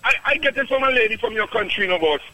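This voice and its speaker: A man's voice: sits high, animated, racing, sharp tone, dry recording. A man in his fifties from Trinidad with a Trinidadian accent, calling in to a radio show and raving enthusiastically, calling the host "boss" again and again. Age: 50s. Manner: enthusiastic, repeats "boss" often, chuckles. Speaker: radio caller giving a testimonial. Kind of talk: phone-in radio chat.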